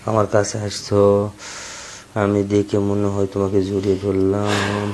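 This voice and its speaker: Man, Bangladeshi accent, low mellow voice, flirty casual chat, noisy phone call recording. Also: monotone, moderate pace.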